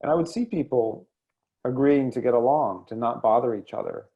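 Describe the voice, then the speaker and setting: A man answering as an interview guest in a thoughtful, halting, measured way. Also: medium pitch, muffled recording. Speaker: guest, editor and poet. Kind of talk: reflective interview conversation.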